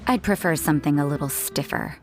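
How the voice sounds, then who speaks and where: An actress playing a cool, blunt young nun in a video game dub, dry and offhand. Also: female voice, low-pitched, fairly quick, over music.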